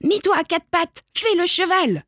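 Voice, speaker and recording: A woman's voice: high-pitched, animated, unhurried, dry recording, clean studio sound, voice pushed up. A woman voicing a whiny, bossy little male goat kid in a children's storybook. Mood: demanding, petulant.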